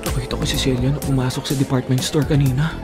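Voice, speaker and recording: A man's voice: medium pitch, little pitch movement, fast talker, over loud music, lightly acted. A man playing a lovelorn young college student, pleading and faltering in a melodramatic radio drama. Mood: hesitant, pleading. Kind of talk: Filipino radio drama acting.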